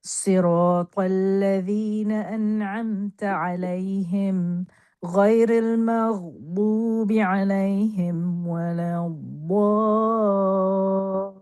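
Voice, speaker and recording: A woman co-hosting an online study session, reciting verses slowly and carefully between brief casual remarks. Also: medium pitch, steady tone, warm tone, clean tone, no echo. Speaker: co-host. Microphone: video call.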